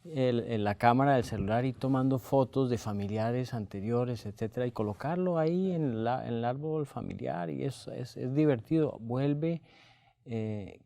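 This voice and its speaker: A man in casual discussion as a church leader, speaking haltingly and repeating words. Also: medium pitch, gravelly, dark tone, no echo.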